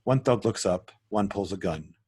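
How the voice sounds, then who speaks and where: A man's voice: lowish voice, expressive, normal speed, a little mellow, husky. A man narrating a screenplay at a table read, reading out scene headings and action as written. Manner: stumbles and corrects himself while reading. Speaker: narrator reading stage directions.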